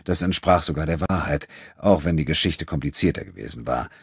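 A man's voice: very deep voice, animated, a little fast, gravelly, slightly rough, no room sound, clean studio sound. A man reading a novel as a scripted, dramatic audiobook narration.

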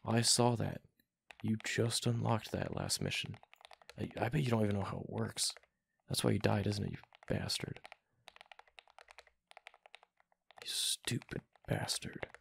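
A man playing a young gamer boyfriend in an ASMR roleplay, reluctant but affectionate, with halting, slangy, casual talk. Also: slight background noise, exaggerated voice. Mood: busy, bantering. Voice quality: deep, gravelly, breathy murmur at times. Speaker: voice actor in boyfriend roleplay audio.

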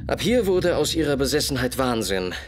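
An actor playing a brilliant, grave Victorian detective in a German radio play, explaining a case in a deliberate, measured way. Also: male voice, mid-range voice, big pitch swings, natural voice. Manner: deliberate, measured, expository, with dramatic repetitions.

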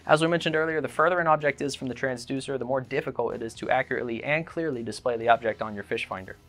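Young guy hosting a how-to video, talks quick, reads off lists, light music under it. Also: on the high side, fairly bright tone. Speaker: host of a boat dealer's learning series. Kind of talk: scripted presenter explainer. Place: indoor showroom set.

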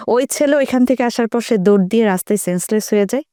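A woman's voice: on the high side, fast, dark tone, no echo, clean studio sound. A woman with a Bangladeshi accent telling casual anecdotes in a group chat, dropping in English words.

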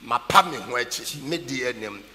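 A man's voice: medium pitch, brisk, pushed and bright. An older man with a Ghanaian accent preaching a sermon emphatically, repeating key phrases and calling for responses. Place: large packed church auditorium, on stage. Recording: echoey large hall PA, background noise.

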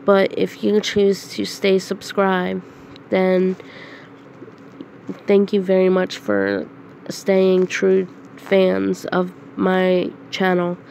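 Slow, nasal, hoarse sick woman. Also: mid-range voice, darkish tone, a little hiss or hum. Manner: slow, halting, with frequent throat clearing and coughs. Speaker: channel host. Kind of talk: casual announcement to viewers. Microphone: phone screen recorder.

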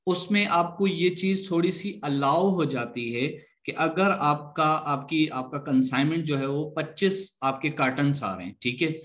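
A man's voice: fairly high pitch, unhurried, few pauses, fairly bright tone. A man giving a live online lesson as instructor, explaining step by step, repeating points and often checking that students follow. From Pakistan.

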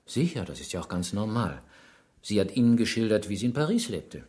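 An actor playing a shrewd, insistent police inspector who probes and presses a suspect in a radio crime drama. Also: male voice, lowish voice, sing-song, brisk, a little mellow. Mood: insinuating. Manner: probing, pointed, pressing questions.

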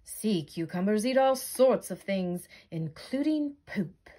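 A woman's voice: mid-range voice, animated, slow pace, mellow. A middle-aged American woman reading a children's book aloud like a teacher. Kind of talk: children's storybook read-aloud.

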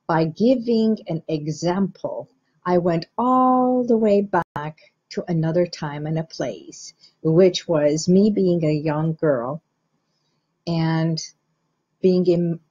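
A middle-aged woman from Iran, a hypnotherapist, explaining the mind to viewers in a slow, measured, guiding way. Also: lowish voice, warm tone, husky, muffled recording. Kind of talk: teaching talk to camera.

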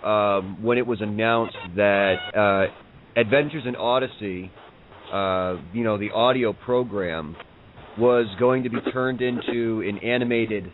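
Male interviewer, somewhat low pitch, hesitant casual questioning, noisy narrow-band sound. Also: measured pace. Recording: tinny phone-like audio with clear noise.

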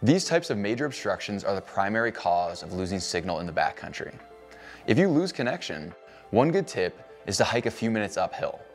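Low-voiced man explaining radio range. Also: sing-song, soft background music. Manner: clear, measured explainer. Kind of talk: scripted educational narration. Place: indoor cabin-style studio.

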